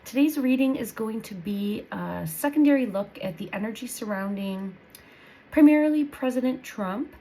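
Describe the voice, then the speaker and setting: A woman's voice: fairly high pitch, expressive, normal speed, mellow, rough recording. Woman tarot reader with a head cold. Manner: thinking aloud, repeats phrases, many restarts. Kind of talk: casual spoken tarot reading.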